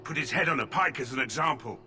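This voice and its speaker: Surly prison guard; sharp, piercing tone, grumbling. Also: male voice, mid-range voice, quick pace, soft background music. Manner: surly, complaining. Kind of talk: video game voice acting.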